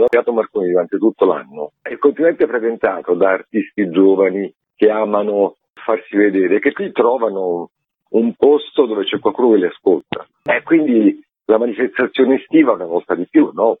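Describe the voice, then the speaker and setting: A man's voice: on the low side, expressive, normal speed, slightly rough, dry recording. A man explaining things in an interview in an emphatic, conversational way. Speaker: theatre artistic director, interview guest. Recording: narrow, tinny phone line.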